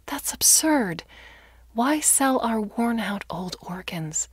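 A woman's voice: fairly high pitch, expressive, slow, slightly rough, dry recording, studio quality, voice pushed up, heavily acted. Proper, reserved older lady, played by a woman reader, skeptical, guarded, audiobook fiction.

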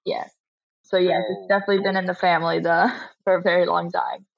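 An 18-year-old woman from Florida answering interview questions, soft-spoken and brief, with frequent small laughs. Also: fairly low pitch, level tone, a little fast, creaky, dry recording, dull audio. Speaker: guest, polo player.

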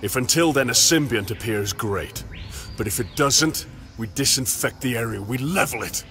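Gruff swooping game soldier. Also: male voice, mid-range voice, expressive, moderate pace, bright, forceful tone, soft background music. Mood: grim, resolved. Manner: gruff, blunt, terse. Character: gruff, hot-tempered armoured male soldier. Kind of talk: video game character dialogue.

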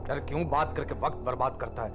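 A man's voice: mid-range voice, steady tone, fast talker, lightly acted. A young man playing a loyal, disapproving underworld middleman in a gangster film spoof, speaking with reproach. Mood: scornful. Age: young adult. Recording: muffled audio with background music.

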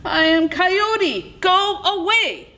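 A woman's voice: sits high, expressive, a little slow, pitched above the performer's own voice. A woman voicing a frantic, whiny, stubborn male coyote trickster in a children's story, pleading and yelping. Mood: indignant.

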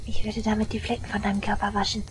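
A woman's voice: medium pitch, completely flat delivery, a little hiss or hum, natural voice. Woman acting an unhinged, obsessive shrine maiden girl. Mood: hushed, resolute. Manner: intense, deliberate, swinging between hushed and frenzied. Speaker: voice actress. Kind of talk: dramatic audio drama monologue.

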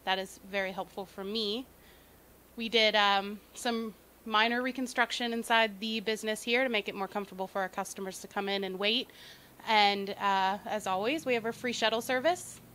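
A woman's voice: medium pitch, steady tone, normal speed, sharp tone. A woman in her thirties giving an upbeat thank-you speech at a shop open house, holding a handheld mic. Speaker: business owner's wife.

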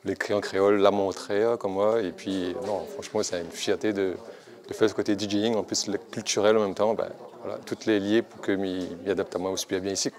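A man's voice: low-pitched, fairly even intonation, brisk, darkish tone. A man in his thirties with a Réunion accent, talking casually in an interview. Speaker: DJ teacher. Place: indoor room at an arts school.

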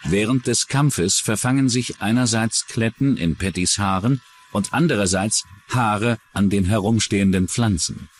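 A man's voice: lowish voice, moderate pace, a little hiss or hum. A man narrating a true-crime documentary from a script as its narrator.

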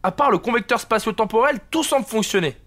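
A man playing an excitable, teasing tech geek sidekick in an audio drama. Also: very high voice, fast talker. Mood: excited.

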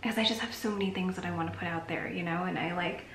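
A woman's voice: lowish voice, steady tone, quick pace. Chatty woman vlogging in an echoey bedroom. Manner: chatty and reflective, drifts into soft near-whispers. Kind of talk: casual confessional vlog. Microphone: camera mic at arm's length. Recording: echoey room with faint hiss.

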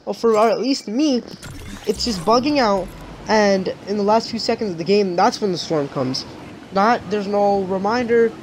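A gaming channel host with a raspy voice and a sore throat, talking through a gameplay tutorial in a rambling, chatty way. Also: mid-range voice, a little hiss or hum, rough recording.

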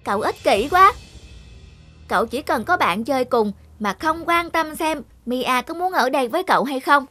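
A woman's voice: high-pitched, expressive, moderate pace, pushed and bright, faint music behind. A woman playing an earnest, spirited young village child in a children's cartoon. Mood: determined.

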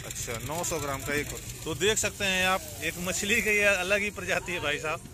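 A man's voice: sits high, normal speed, pushed and bright. A man vlogging on location, asking curious questions, explaining to viewers and repeating answers back, recorded outdoors on a handheld phone. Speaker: vlogger interviewing fishermen. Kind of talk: casual on-location vlog commentary with questions. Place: outdoors on fishing boats at a harbour. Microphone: handheld phone, behind the camera. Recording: noisy outdoor phone recording, engine and harbour ambience.

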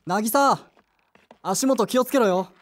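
A woman's voice: on the high side, slow. A woman playing a brusque, moody teenage boy in an audio drama, with blunt, casual boyish speech. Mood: urgent. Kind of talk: Japanese audio drama acting with inner narration.

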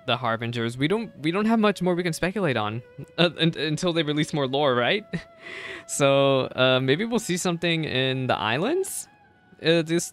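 A young man streaming games, giving casual reaction commentary in a chatty, halting way with repeated words. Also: a little high, a bit bright, clear, resonant tone. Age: young adult. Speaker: game streamer. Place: indoor streaming setup. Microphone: close desk microphone, headset worn.

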